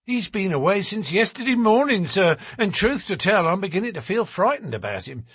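Stout boatman's wife, played by a man: high, swooping delivery, garrulous and fretful, colloquial and deferential, for an audiobook. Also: very animated, brisk, fairly bright tone, husky, dry recording, clean studio sound, heavily acted. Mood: chatty, respectful. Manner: chatty and colloquial, deferential with frequent "sir". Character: working-class boatman's wife, stout, scolding, anxious, garrulous. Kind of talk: audiobook character voice.

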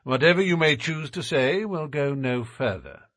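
A man voicing a composed gentleman detective who speaks in a measured way. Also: a little high, expressive, no room sound, studio quality. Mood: assuring.

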